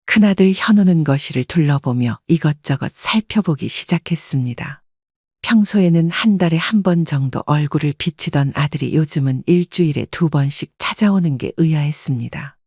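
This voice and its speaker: A woman giving scripted first-person narration in an even, wistful storytelling manner. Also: low-pitched, moderate pace, breathy edge, no echo, clean studio sound. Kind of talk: scripted audio drama narration.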